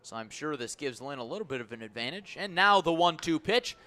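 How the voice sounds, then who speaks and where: A man calling baseball play-by-play on the radio, measured between pitches and swelling into excited calls on plays. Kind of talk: live radio sports commentary.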